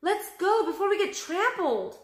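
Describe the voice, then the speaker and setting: A woman's voice: very high-pitched, big pitch swings, measured pace, echoey room, pitched above the performer's own voice, exaggerated voice. A woman reading the part of an earnest, cautious young boy adventurer in a storybook, explaining things emphatically. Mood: alarmed.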